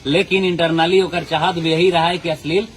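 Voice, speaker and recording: A man's voice: a little high, steady tone, normal speed, a little hiss or hum. A man hosting a channel, talking earnestly and pleading with viewers. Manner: earnest, pleading, folded-hands appeal. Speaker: channel host. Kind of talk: casual commentary to viewers.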